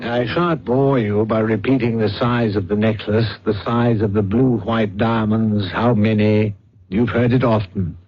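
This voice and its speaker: An actor playing a suave, pompous swindler who poses as a psychic detective, with precise theatrical delivery in a radio drama. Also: male voice, on the low side, fairly even intonation, unhurried, soft background music. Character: suave, pompous male con-man posing as psychic detective. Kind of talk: old-time radio drama acting. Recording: muffled, hissy old radio recording.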